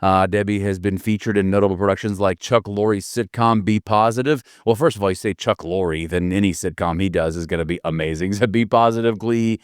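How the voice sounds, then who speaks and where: A man hosts a podcast interview in a friendly, teasing way, making small jokes at his own expense. His voice sits low and comes through smooth and clear on a dry, close recording. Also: brisk, hardly any pauses, no room sound. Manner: friendly, joking, self-deprecating. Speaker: podcast host. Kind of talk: casual interview talk.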